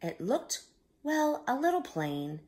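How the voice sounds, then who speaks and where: A woman reading a picture book aloud to young children, expressive, with simple explanatory asides. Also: high-pitched, slow. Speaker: children's storyteller.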